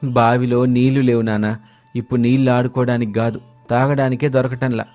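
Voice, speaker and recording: A man's voice: medium pitch, flat delivery, a little fast, darkish tone, soft background music, close to an everyday voice. A man voicing a sorrowful old farmer and grandfather in a village moral story.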